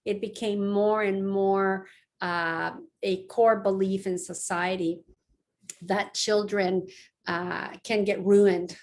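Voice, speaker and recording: Middle-aged woman from Mexico, psychologist, relaxed lecture delivery with frequent ums and restarts, mid pitch. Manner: conversational, many fillers and restarts. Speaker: psychologist giving a public talk. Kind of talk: lecture-style online talk. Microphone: online talk, close mic.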